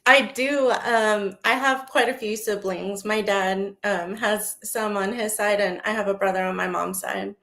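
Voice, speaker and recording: A young woman from Texas talks as an interview guest, her Texan accent light and her voice of middling pitch. She is bubbly and peppers her sentences with "like.". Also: steady tone, unhurried, hardly any pauses, a bit bright. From San Antonio, Texas, USA. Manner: bubbly, heavy use of "like". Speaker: podcast guest, marketing business owner. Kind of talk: casual interview chat.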